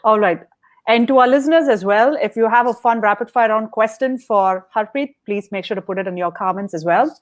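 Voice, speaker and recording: A woman's voice: fairly high pitch, a little fast. Upbeat woman podcast host. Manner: upbeat, asks prepared questions. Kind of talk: interview hosting.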